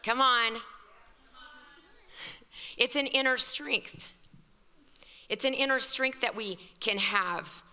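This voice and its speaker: Hoarse woman preaching in a reverberant hall. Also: on the high side, slow, pauses often, sharp tone. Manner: fervent, conversational preaching with exclamations. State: scratchy, hoarse voice. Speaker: pastor. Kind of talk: sermon at a women's evening service. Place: church hall. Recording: long reverb of a large hall.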